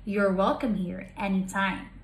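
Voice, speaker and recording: A woman voicing a very old, gentle, lonely tree in a children's storybook, polite and wistful. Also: medium pitch, fairly even intonation, a little slow, creaky, roomy sound, pitched below the performer's own voice. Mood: friendly.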